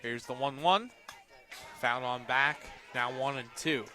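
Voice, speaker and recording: A man doing live radio play-by-play of a softball game in short, present-tense calls that lift on the plays. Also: mid-range voice, slow, faint music behind.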